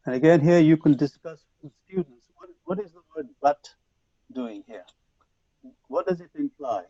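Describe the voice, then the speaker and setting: A man whose first language is Punjabi, giving an online lecture to teachers in a measured, reflective way with frequent restarts, heard over a video call. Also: on the high side, animated, creaky, mellow, no room sound. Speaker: conference speaker. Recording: dull lo-fi video call audio.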